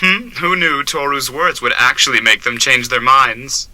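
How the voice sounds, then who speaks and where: A man's voice: medium pitch, expressive, normal speed, bright, forceful tone, low-quality recording. A man playing a wry, teasing adult guardian in an amateur anime-style radio drama, mock-dramatic as he explains things.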